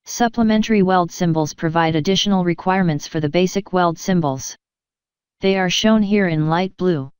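A woman giving a measured, even, scripted narration as an instructor, explaining technical material step by step. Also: on the low side, smooth, dry recording.

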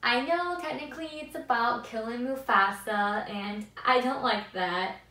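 A young woman with a squeaky voice chatting enthusiastically to the camera in a casual vlog, seated in a small room. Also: a little slow, bright, forceful tone. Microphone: far camera. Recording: echoey room, mic at a distance.

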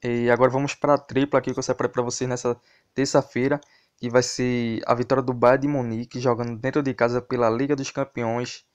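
A man's voice: mid-range voice, little pitch movement, brisk, clean tone, lo-fi sound. A man with a Brazilian accent hosting football tips, talking informally and directly to his viewers in a casual commentary style. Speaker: host of a football betting tips channel. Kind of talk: casual sports tips commentary over a screen recording.

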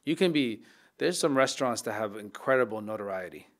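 A man's voice: fairly low pitch, animated, brisk. Reflective man on a podcast mic. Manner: reflective, many restarts. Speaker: chef, podcast guest. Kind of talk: casual interview talk. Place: dark podcast studio. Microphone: studio mic on boom arm.

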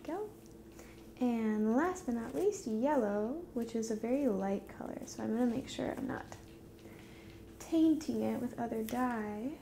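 A young woman speaks in a gentle, hushed ASMR style, slipping between soft talk and whisper as if to one companion. Her voice is fairly high and mellow, with a lot of creak. There is faint noise behind her. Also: animated, unhurried. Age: 20s. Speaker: ASMR roleplay host. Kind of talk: soft-spoken ASMR roleplay, talking to the listener. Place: dim indoor room at a table.

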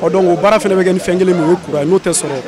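A young man with a higher voice answers a street reporter at a shop front. He is animated, and a crowd can be heard clearly behind him. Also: rapid-fire. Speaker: phone repair worker. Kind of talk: vox pop answer. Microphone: handheld mic held by interviewer.

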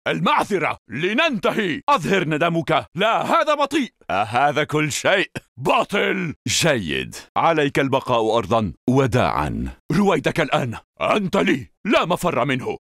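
An actor playing a vengeful, honorable desert warrior in a fighting game, with solemn, intense, formal delivery. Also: male voice, fairly high pitch, bright, forceful tone, dry recording, clean studio sound, heavily acted. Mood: fierce, combative. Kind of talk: video game battle lines and fight efforts.

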